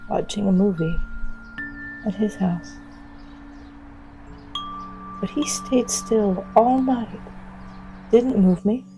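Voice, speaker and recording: Actress as a gravelly, mellow, wary wild woman. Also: mid-range voice, lightly acted. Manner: reflective, hushed, halting. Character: wild, wary young woman from a dangerous land. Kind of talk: intimate urban fantasy audio drama monologue.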